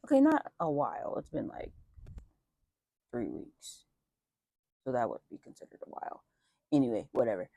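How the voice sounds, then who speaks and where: A young man chatting casually outdoors in a light breeze as a vlogger, with lots of fillers and wry asides. His voice is just starting to deepen early on testosterone. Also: wildly swooping pitch, normal speed, creaky, long pauses, dark tone, dry recording, studio quality. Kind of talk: casual life update chat.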